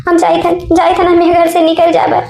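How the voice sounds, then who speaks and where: A woman as a plaintive, high-voiced wronged wife. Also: monotone, gravelly, darkish tone. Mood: pleading, distressed. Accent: eastern Uttar Pradesh. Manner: plaintive, repetitive, halting. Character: wronged, self-sacrificing elder wife, mother of three daughters. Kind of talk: village family comedy cartoon dub. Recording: echoey room sound.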